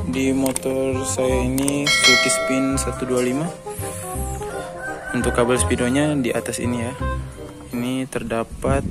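A man hosting a casual motorcycle how-to vlog, explaining things slowly step by step. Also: medium pitch. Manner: slow, flat step-by-step explaining. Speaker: motovlog host. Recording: music bed underneath.

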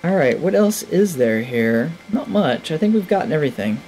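A man's voice: on the high side, very animated, moderate pace, darkish tone, soft background music. A man in his thirties giving casual, enthusiastic let's play commentary, musing to himself as he plays. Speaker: gaming video host. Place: indoor room at a desk.

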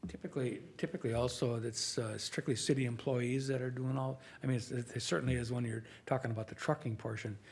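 Mid-pitched man in a meeting. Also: steady tone, fast talker, creaky, hardly any pauses. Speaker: council meeting participant asking questions. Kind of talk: formal meeting discussion.

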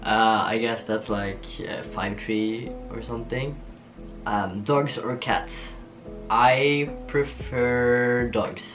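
A young man from Norway with a Norwegian accent, chatting casually to camera in a small home studio, laughing often and pausing with ums. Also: mid-range voice. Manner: relaxed, giggly, lots of ums and restarts. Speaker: vlogger. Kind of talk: casual get-to-know-me chat. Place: small bedroom studio with shelves and posters. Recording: soft guitar music underneath.

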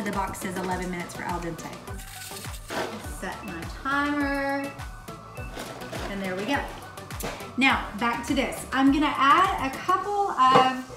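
Chatty high-voiced woman in an echoey kitchen. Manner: chatty, explains as she works. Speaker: host of a cooking show. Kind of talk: casual instructional cooking demonstration. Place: home kitchen, indoors. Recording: echoey kitchen, far from mic, music bed.

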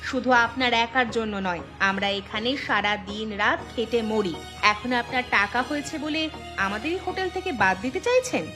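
A woman's voice: a little high, animated, normal speed, over music, natural voice. A woman voicing an indignant, hard-working young hotel employee in a village cartoon, defensive and protesting. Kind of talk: Bengali village cartoon dialogue.

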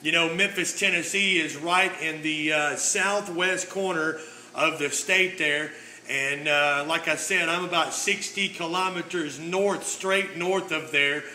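A man's voice: fairly high pitch, fairly even intonation, unhurried, sharp tone. A man from the United States, chatting casually and folksily over two-way radio with repeated phrases and jargon. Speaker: amateur radio operator. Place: indoor radio desk, filmed up close. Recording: noisy room beside radio set.

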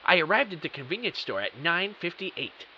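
Bewildered everyman narrator male actor, mystery audio drama. Very bright, forceful, melodramatic, over faint hiss. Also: a little high, very animated, full character voice. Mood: dramatic, intrigued. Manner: earnest, melodramatic, deliberate emphasis. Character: bewildered computer technician narrating his own mystery. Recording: dull, hissy audio.

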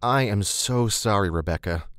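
A man's voice: fairly low pitch, normal speed, fairly bright tone, no echo, clean studio sound, close to an everyday voice. A man playing an awkward, smitten young man in an audiobook, stammering, hesitant and apologetic. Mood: mortified, apologetic. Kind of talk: audiobook character dialogue.

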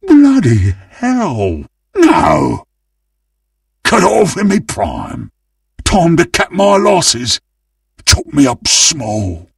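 A man voicing a greedy, gleeful, monstrous undead butcher in a video game, with a gravelly, husky British-accented voice and a menacing, theatrical manner. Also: on the high side, wildly swooping pitch, drawn-out words, long pauses, fairly bright tone, no echo, clean studio sound, far from the mic, exaggerated voice. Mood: frustrated. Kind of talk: video game character voice lines.

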